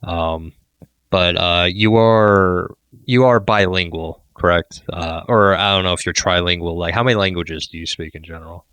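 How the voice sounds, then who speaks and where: A man hosting a podcast, asking rambling, filler-heavy questions in a relaxed chat. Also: deep voice, normal speed, clean tone, no echo. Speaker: podcast host. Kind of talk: casual interview chat.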